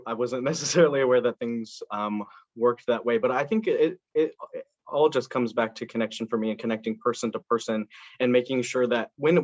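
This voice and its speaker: An earnest young man from Indiana makes his case as a student council candidate. He talks with frequent ums and restarts, in a mid-pitched voice. The video call audio is dull and dry. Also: dry recording. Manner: earnest, with many fillers and restarts. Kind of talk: campaign speech and answers to questions.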